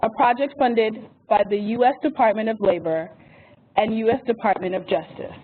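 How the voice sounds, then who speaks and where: A woman moderating a webinar, reading housekeeping notes and an introduction from a script. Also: fairly high pitch. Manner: reads phone number slowly and carefully. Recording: tinny phone-line audio with faint hiss.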